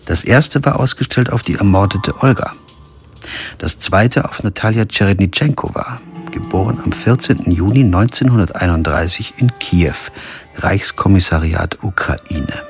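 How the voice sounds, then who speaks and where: An actor playing a stubborn, principled lawyer who tells a radio crime story in measured, literary German prose style. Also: male voice, low voice. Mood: brooding, measured. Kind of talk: first-person radio crime drama narration and dialogue. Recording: music behind the voice.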